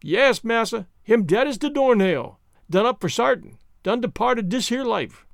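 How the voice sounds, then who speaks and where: A man's voice: very high voice, animated, measured pace, vocal fry, dry recording, studio quality, pitched above the performer's own voice, heavily acted. A man voicing a simple, literal-minded, obliging male servant in folksy dialect for a storybook reading. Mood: worried, plaintive. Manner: folksy nonstandard dialect speech.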